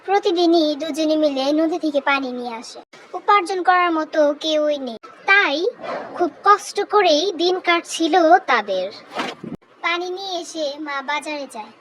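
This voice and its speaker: High-pitched woman narrating a children's story. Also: moderate pace, fairly bright tone, slight background noise, rough recording.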